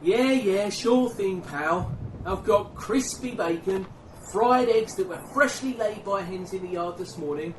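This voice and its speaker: A man's voice: high voice, unhurried. A man with a working-class English accent playing a cheeky, joking soldier in a radio play. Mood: cheeky, teasing. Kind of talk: dramatic dialogue in an audio play. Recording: roomy, distant, faintly noisy recording.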